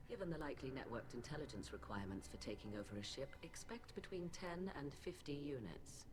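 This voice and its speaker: A woman's voice: rumbling low, animated, brisk, background noise. A woman playing a cold, imperious alien admiral in a video game, speaking in a clipped, haughty, precise way. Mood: cold, matter-of-fact. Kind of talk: video game dramatic dialogue. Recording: game dialogue over loud ship ambience.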